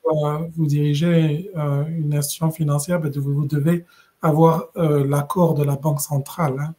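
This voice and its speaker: Mellow-voiced middle-aged man from West Africa. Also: fairly high pitch, level tone. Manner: measured, thoughtful storytelling with frequent hesitations and restarts. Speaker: guest, regional director. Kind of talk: conversational podcast interview.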